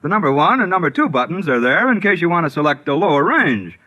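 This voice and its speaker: An actor playing a smooth, enthusiastic, knowledgeable car salesman in a 1950s radio commercial. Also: fairly high pitch, sing-song, quick pace, bright, forceful tone. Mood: confident, instructive.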